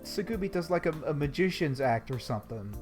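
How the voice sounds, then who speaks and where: A man's voice: on the high side, expressive, quick pace. A man chiming into a casual chat with wry remarks and questions. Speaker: podcast panelist. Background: game music.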